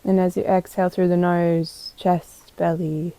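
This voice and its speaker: A woman guiding a meditation as a yoga teacher, calm and gentle, giving unhurried instructions with pauses. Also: on the low side, no echo. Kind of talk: guided meditation.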